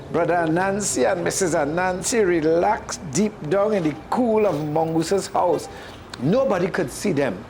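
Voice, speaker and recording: A storyteller with a Caribbean accent reading a tale aloud in an expressive manner. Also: medium pitch, slight background noise.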